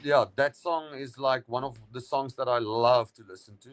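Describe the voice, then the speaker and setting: A middle-aged man talks loosely as a guest on a live stream. He wanders through his thoughts with plenty of ums and restarts, calling his host "brother." His voice sits at an everyday middle pitch. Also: steady tone, moderate pace. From South Africa. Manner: rambling, full of fillers and restarts, says "brother". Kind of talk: casual chat on a live stream.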